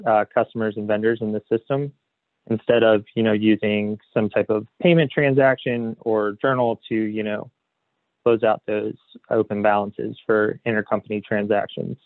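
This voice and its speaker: A man presenting a software webinar as a consultant, explaining slides in a hesitant way padded with "you know". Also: on the low side, level tone, moderate pace. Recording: phone-like narrow band, roomy echo.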